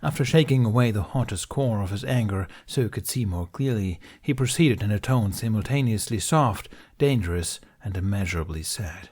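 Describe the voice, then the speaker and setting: A man reading fan fiction aloud as an audiobook narrator, in a steady, measured storytelling manner. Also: lowish voice, breathy edge.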